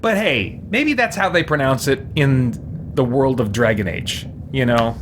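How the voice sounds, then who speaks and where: A man's voice: medium pitch, unhurried, noisy background. A man hosting a gaming stream with casual, rambling, explanatory let's-play commentary. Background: game music.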